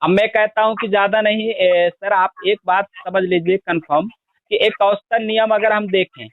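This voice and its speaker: A man making a long sales pitch on a phone call, reeling off figures at length and pressing the listener. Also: fairly even intonation, normal speed, no room sound. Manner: persistent, explains at length with long lists of figures. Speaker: scheme promoter on a phone call. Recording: tinny phone line, dry.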